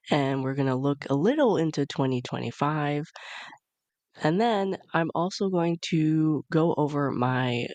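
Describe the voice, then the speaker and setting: A woman's voice: low-pitched, a little mellow, dry recording. Chatty congested woman podcast host. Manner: chatty, upbeat, rambling. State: congested from a cold. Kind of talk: casual solo podcast chat.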